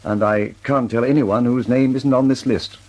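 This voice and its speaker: A man's voice: medium pitch, steady tone, moderate pace, a little mellow, lightly acted. An actor playing a fussy, anxious older male bomb inventor in a 1940s radio drama. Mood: fretful.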